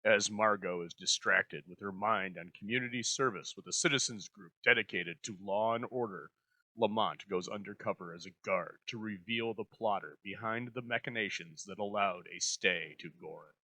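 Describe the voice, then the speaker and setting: Bright, forceful man, low and dry. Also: normal speed, no room sound. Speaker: host introducing an old radio episode. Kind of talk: scripted narration.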